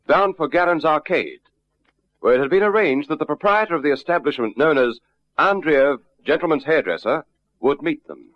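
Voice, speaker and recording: An actor as a wry, composed narrator of detective cases, giving scripted narration in an old radio drama. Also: male voice, on the high side, lively intonation, normal speed, natural voice. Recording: dull, noisy old radio drama recording.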